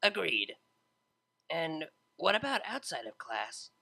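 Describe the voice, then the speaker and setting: Play a laid-back, teasing young mare DJ as the story's reader puts her on: bright and a little gravelly, lively up and down, slangy and blunt, a touch back from the mic. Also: mid-range voice, slow, exaggerated voice. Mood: shy. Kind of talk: fan fiction reading, character voice.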